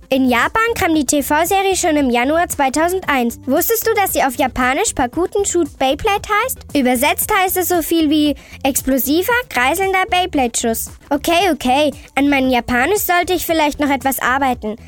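Woman acting as excitable girl host, high voice, chummy and teasing, children's audio show, music underneath. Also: moderate pace. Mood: animated, chatty. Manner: exclamatory, chummy, teasing youth slang. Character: Sally, chirpy excitable cartoon-like girl host. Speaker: host answering young callers' questions.

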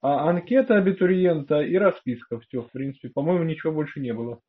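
A man's voice: a little high, fast, husky. A man speaking politely and hesitantly in a phone conversation with an official. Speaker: prospective student, applicant. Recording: dull lo-fi phone call.

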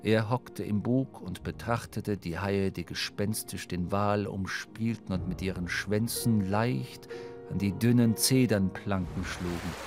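A man's voice: fairly low pitch, unhurried, darkish tone. Male radio drama narrator over faint music.

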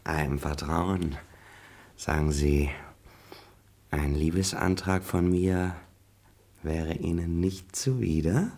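A man's voice: slow pace, long pauses, darkish tone, heavily acted. An actor playing a male servant who woos a maid with flowery, teasing talk in a radio play. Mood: flirtatious. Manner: flowery, teasing, playful pitch swings. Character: male servant posing as gallant, flirtatious.

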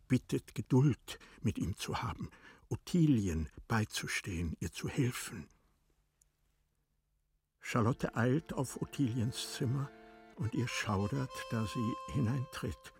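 A man narrating literary radio drama from a script, with deliberate, dramatic phrasing. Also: mid-range voice, gravelly, darkish tone.

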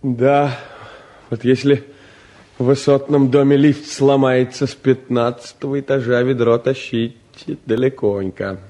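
A man acting a blunt, gruff young provincial in a 1950s radio play, with a brusque, plain-spoken manner. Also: medium pitch, steady tone, measured pace, warm tone, close to an everyday voice. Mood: reserved. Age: young adult.

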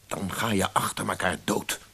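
A man's voice: deep voice, little pitch movement, moderate pace, gravelly, pushed and bright, slightly rough, full character voice. An actor playing a stern, worried doctor in a radio drama, blunt and insistent with his patient. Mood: grave warning.

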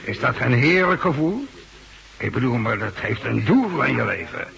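A man's voice: medium pitch, sing-song, moderate pace, creaky, a bit bright, heavily acted. An actor playing a chatty, devout barman in a remote bar, in the style of a Dutch radio drama. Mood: earnest, fervent. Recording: dull, lo-fi old radio recording.

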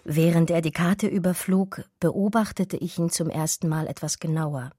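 An actress in a radio drama playing an emotional, wistful art historian, with a breathy, husky voice that often sinks toward a whisper. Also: female voice, lowish voice, moderate pace, dark tone. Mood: wary, observant. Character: distraught art historian recalling a lost lover, wistful and urgent. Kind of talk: radio drama dialogue and intimate first-person narration.

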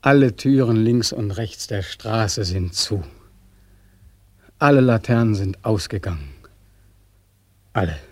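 An actor in a German radio drama playing a bitter, despairing young returned soldier, accusing and sarcastic, with impassioned, biting questions. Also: male voice, on the low side, takes it slowly, long pauses. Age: young adult.

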